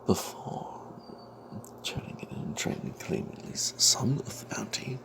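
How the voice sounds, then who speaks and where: A man telling a fantasy tabletop tale in a breathy ASMR whisper, halting with long pauses. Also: noisy background.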